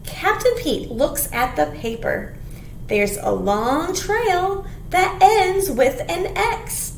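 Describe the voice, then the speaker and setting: A woman leads a children's storytime in a very high, hugely animated voice. She takes her time, very slowly, with a sing-song, expressive delivery. The room rings with some echo. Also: gravelly. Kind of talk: animated read-aloud to young children. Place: small indoor room. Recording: roomy echo, faint background noise.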